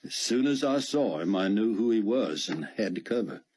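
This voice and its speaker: A man's voice: fairly low pitch, expressive, normal speed, a little mellow, breathy edge, pitched above the performer's own voice. A man voicing a brash, joking male smuggler pilot with a folksy Southern US drawl. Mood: bantering.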